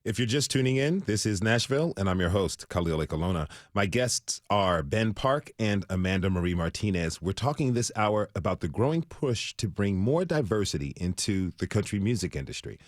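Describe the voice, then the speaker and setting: Deep-voiced man hosting a radio talk show. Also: very animated, brisk, hardly any pauses, dry recording, studio quality.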